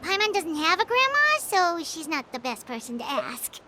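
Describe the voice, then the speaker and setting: A woman's voice: very high-pitched, very animated, moderate pace, heavy background noise. A woman voicing a tiny, cheerful, childlike fairy companion in a video game, chirpy and eager. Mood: unsure, gentle. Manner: childlike, chirpy, refers to herself in third person.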